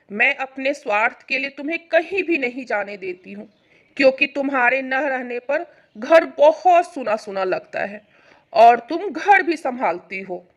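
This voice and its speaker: Woman acting a high-voiced, expressive mother-in-law. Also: exaggerated voice. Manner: patient, explanatory. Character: older mother-in-law, affectionate, explaining, reassuring. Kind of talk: dramatised family story.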